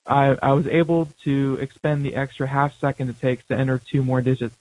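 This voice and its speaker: Laid-back radio co-host guy, jokey, halting talk. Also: medium pitch, fairly even intonation, a little mellow, low-quality recording. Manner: joking, casual, halting.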